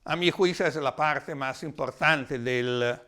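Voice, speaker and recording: A man with an Italian accent giving a reflective, explanatory talk in a language that is not his native one, sometimes halting as he searches for words. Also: medium pitch, pushed and bright. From Italy. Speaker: lecturer.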